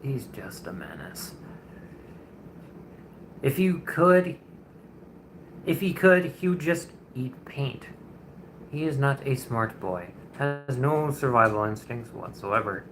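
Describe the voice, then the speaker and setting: A young man in his twenties talks casually to his livestream audience, with a bright, forward tone. He rambles a bit, greets his viewers, and chuckles often as he goes. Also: mid-range voice, expressive, takes it slowly, pauses often, slight background noise. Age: 20s. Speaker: streamer. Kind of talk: casual chat on a painting livestream.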